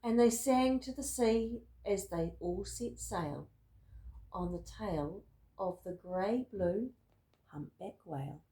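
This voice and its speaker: A woman reading a rhyming picture book aloud in an expressive, deliberate storytelling manner. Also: mid-range voice, reverberant, rough recording. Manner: dramatic, deliberate storytelling with emphatic words. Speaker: storybook reader.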